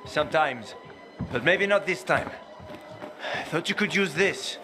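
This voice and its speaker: A man's voice: fairly high pitch, expressive, unhurried. A man playing a sly, easygoing rogue with a wry, cajoling way of talking in a video game cutscene. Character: sly, easygoing roguish man seeking forgiveness. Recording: music behind the voice.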